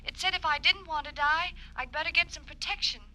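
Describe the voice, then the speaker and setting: A frightened, polite young woman speaks over a telephone. Her voice is high and piercingly bright, in the style of 1950s radio crime drama. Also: brisk, low-quality recording.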